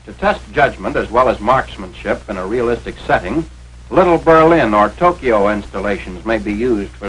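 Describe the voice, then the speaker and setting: An American man delivering authoritative, instructional 1940s military training film narration in formal, clipped phrasing. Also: mid-range voice. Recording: noisy vintage 1940s film soundtrack.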